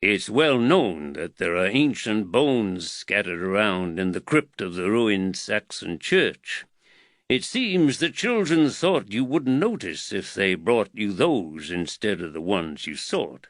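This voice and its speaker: Man voicing a calm, soft-drawling American gentleman. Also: on the low side, takes it slowly, dry recording, studio quality. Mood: courteous, amused. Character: calm, courteous, slight bespectacled foreign husband.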